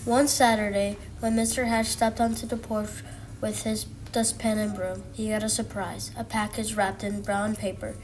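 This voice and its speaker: A woman's voice: medium pitch, little pitch movement, normal speed, background noise. A woman reading a children's storybook aloud, now and then stumbling over and repeating words. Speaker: student reader. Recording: music playing behind the voice. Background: music.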